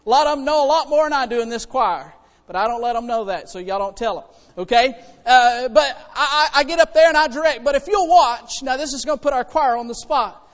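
High-pitched male preacher in a reverberant hall. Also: fairly quick. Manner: conversational and folksy, swinging from hushed asides to loud exhortation. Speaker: preacher and church choir director. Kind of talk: animated sermon to a congregation. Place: church hall, preaching to congregation. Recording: reverberant hall with long echo.

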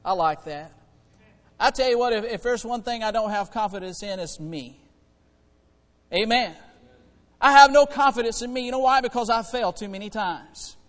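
A male preacher delivers a sermon in a very high-pitched voice that rings through a big reverberant church. His manner is folksy and conversational, shifting between quiet asides and loud, urgent exhortation. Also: lively intonation, normal speed, long pauses. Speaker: preacher and church choir director. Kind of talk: animated sermon to a congregation. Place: church hall, preaching to congregation. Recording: reverberant hall with long echo.

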